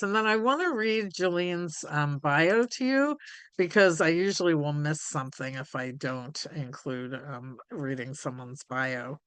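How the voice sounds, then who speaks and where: A woman hosting a live online conversation over a video call, talking in a halting way with many ums and restarts. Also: low voice, fairly bright tone, no room sound. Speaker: host.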